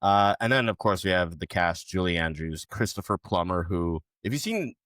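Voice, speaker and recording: A man with a notably deep voice leads a casual movie podcast. He runs through film credits and throws jokes to his co-hosts, recorded close and dry with no room sound. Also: animated, normal speed, a bit bright, no echo. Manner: leads the show, reads out film credits, jokey. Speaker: podcast host.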